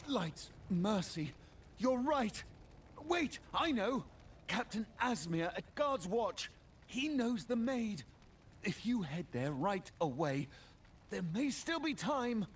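An actor playing a frightened, flustered guard in a fantasy game, earnest and pleading. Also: male voice, sits high, very animated, slow, a little hiss or hum, exaggerated voice. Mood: shaken.

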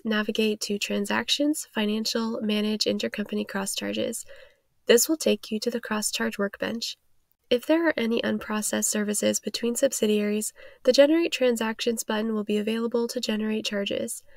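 Woman doing explainer voiceover, bit of vocal fry, dry close sound, instructional. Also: on the high side, steady tone, moderate pace, no room sound. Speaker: tutorial narrator. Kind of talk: scripted explainer narration.

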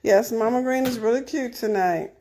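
A woman co-hosting a casual live cooking stream, reading viewers' names and thanking them in a steady run. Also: fairly high pitch, normal speed, a little hiss or hum. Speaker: co-host reading viewer comments.

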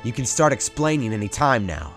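A man's voice: mid-range voice, very animated, fairly quick, music in the background, natural voice. A man playing a stern, brooding doctor in an anime dub, intense and accusatory in his delivery. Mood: cold, demanding. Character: stern, brooding male doctor, indignant and morally driven. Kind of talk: dramatic anime dub acting.

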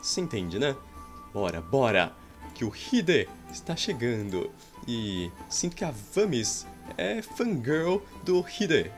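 A man's voice: mid-range voice, sing-song, slow pace. Playful Brazilian man narrating his game. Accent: Brazilian Portuguese. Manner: jokey, exclaims and sighs at game events. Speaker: gameplay streamer. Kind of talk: playful gameplay commentary. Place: small home room. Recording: faint game music behind.

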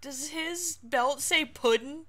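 A woman doing casual let's play commentary as a game streamer, amused and quick to laugh. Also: high voice, fairly bright tone.

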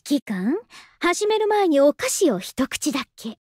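A woman playing a lazy, polite, softly affectionate young masked soldier in an anime game, with an understated, languid delivery. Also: high voice, big pitch swings, a little slow, dry recording, clean studio sound. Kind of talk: Japanese anime game voice acting.

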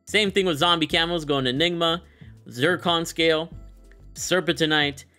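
A man's voice: a little high, normal speed, bright, forceful tone, soft background music. A young man hosting a gaming video with casual, hyped, slangy commentary. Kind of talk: casual commentary on a game showcase.

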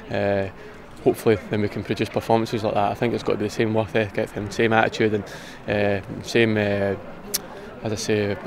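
A young Scottish man, a footballer giving a casual post-match interview outdoors by the pitch, saying "aye" in a quick, relaxed way. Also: gravelly. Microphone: handheld interview mic, close camera.

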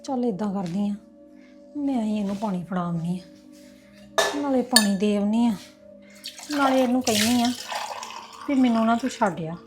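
A woman's voice: mid-range voice, normal speed, pauses often, over music, natural voice. A woman playing a sarcastic, resentful sister-in-law. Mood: musing. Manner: complaining, sarcastic. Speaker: sister-in-law in a family drama. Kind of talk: domestic drama acting.

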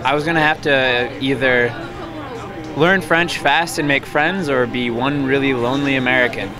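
A young man from New York, relaxed and reflective, speaking outdoors. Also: mid-range voice, normal speed, over music. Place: outdoor city terrace. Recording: busy crowd around.

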